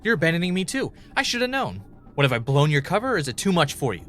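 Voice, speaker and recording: A man acting as an anxious, self-doubting podcast host in an audio drama, talking in a halting, confessional way. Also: fairly high pitch, big pitch swings, a bit bright, a little hiss or hum. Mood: hurt, bitter. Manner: hesitant, self-questioning, halting.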